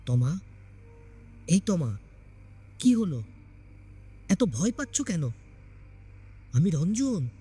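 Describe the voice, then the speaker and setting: A man's voice: high voice, sing-song, drawn-out words, long pauses, warm tone, husky, soft background music, exaggerated voice. A man voicing a devoted, earnest young husband in a narrated horror story. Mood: alarmed. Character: devoted, playful young husband, anxious for his wife.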